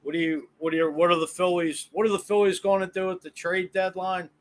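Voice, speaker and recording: A man's voice: high-pitched, level tone, a little fast. An American man hosting a sports podcast in a casual, chatty style. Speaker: podcast host.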